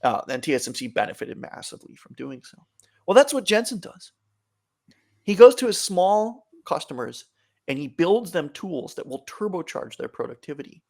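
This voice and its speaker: A man's voice: on the high side, very animated, vocal fry, darkish tone. A man being interviewed as an author, giving long, thoughtful answers with occasional restarts. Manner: thoughtful, fillers and restarts, measured explanation. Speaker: author, guest.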